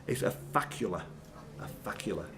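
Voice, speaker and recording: Male quiz master, bantering, swinging intonation, slightly high register, live pub setting with light background noise. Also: animated, normal speed, a bit bright. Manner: bantering, jokey asides.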